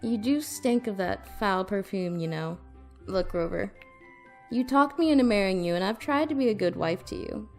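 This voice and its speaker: A woman's voice: medium pitch, lively intonation, moderate pace, soft background music, close to an everyday voice. A woman playing a sardonic, possessive newlywed wife in retro radio drama style, dry, sarcastic and commanding. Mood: stern, possessive. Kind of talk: retro 1940s radio comedy-thriller acting.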